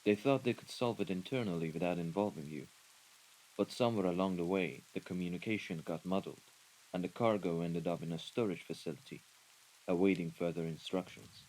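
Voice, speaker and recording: Low-voiced male henchman, played by a man, formal, careful and deferential, for a mafia audio drama. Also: a little fast, darkish tone, lightly acted, poor audio. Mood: deferential, explaining. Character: deferential male mafia underling reporting to his boss. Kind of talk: scripted audio roleplay drama.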